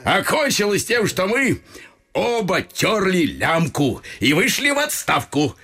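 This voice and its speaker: Animated, bright-voiced old retired officer. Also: male voice, high voice, a little slow, exaggerated voice. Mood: nostalgic, boastful. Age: older. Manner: theatrical, effusive, folksy old-fashioned turns of speech. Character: talkative, touchy old retired officer turned small-town clerk, godfather. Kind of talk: classic Russian radio vaudeville acting. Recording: stage radio play with faint music.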